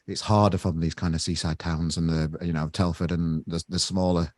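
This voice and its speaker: A man's voice: low voice, flat delivery, moderate pace, darkish tone, no echo, studio quality. A man from Sheffield with a northern English accent, interviewing a band in casual chat and often restarting his sentences. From Sheffield, England. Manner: chatty, restarts sentences, says mate. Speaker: interviewer and gig promoter.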